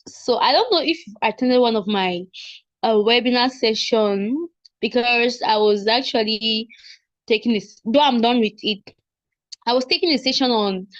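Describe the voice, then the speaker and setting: A woman's voice: a little high, no echo. Chatty woman on a muffled call. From Cameroon. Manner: friendly, chatty self-introduction. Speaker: webinar facilitator. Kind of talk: casual webinar chat. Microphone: video call. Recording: dull lo-fi video call audio.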